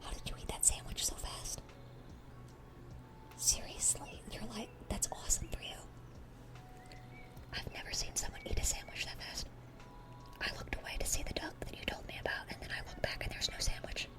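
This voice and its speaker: A woman's voice: normal speed, pauses often, over music, close to an everyday voice. Woman playing a whispering, dorky demon girlfriend. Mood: baffled, amazed. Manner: gushing, rambling, repeats herself eagerly. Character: dorky, excitable, affectionate young female demon girlfriend. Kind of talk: whispered ASMR roleplay.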